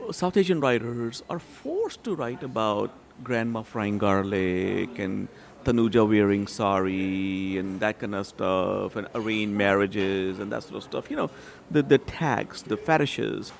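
A man's voice: medium pitch, creaky, a little hiss or hum. A middle-aged man from Pakistan, a writer and translator giving discursive interview answers with many fillers. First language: Urdu or Punjabi.

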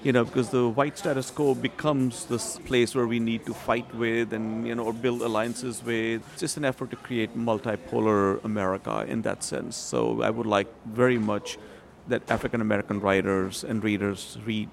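A man's voice: mid-range voice, flat delivery, moderate pace, vocal fry. A middle-aged man from Pakistan, a writer and translator giving discursive interview answers with many fillers. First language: Urdu or Punjabi.

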